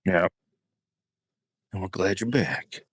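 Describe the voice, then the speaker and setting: A man hosting a casual conversation, putting questions in a joking, halting way. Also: low voice, dry recording. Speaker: podcast host. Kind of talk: casual joking interview chat.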